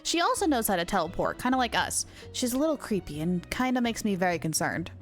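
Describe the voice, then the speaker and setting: A woman's voice: mid-range voice, big pitch swings, fast talker, faint music behind. A woman live-streaming a game, chatting casually with viewers in a bubbly, giggly manner.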